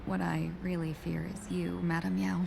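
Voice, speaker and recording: A poised young fox-woman merchant envoy from a fantasy game. Her voice sits fairly low with a strong creak, formal and measured, politely bold with a weary edge, over clear music. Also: flat delivery. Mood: composed, probing. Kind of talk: video game voice acting. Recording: music behind the voice.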